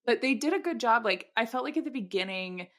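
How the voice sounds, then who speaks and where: A woman's voice: on the high side, expressive, brisk, a bit bright. A woman co-hosting a podcast, talking in a chatty, informal, self-deprecating way about books. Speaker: podcast co-host. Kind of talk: casual book discussion.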